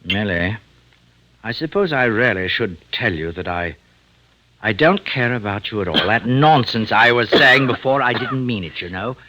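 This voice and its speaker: Secret-poisoner doctor, old-time radio thriller: deep-leaning, swooping intonation, hesitant, mannered, spiteful. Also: male voice, lively intonation, moderate pace, sharp tone, a little hiss or hum, rough recording. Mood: gloating spite. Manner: hesitant, mannered, theatrical, muttering and gloating. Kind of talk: old-time radio suspense acting and first-person narration. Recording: vintage radio drama recording, music behind.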